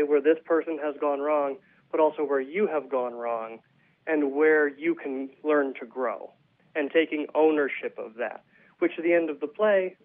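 Higher-voiced man on a tinny phone line. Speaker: playwright, interview guest. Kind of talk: conversational interview. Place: phone call to a radio show.

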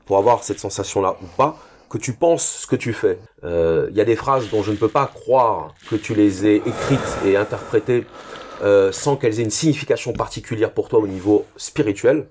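A man hosting an interview, asking measured, explanatory questions. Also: on the low side, darkish tone, slight background noise. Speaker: interview host.

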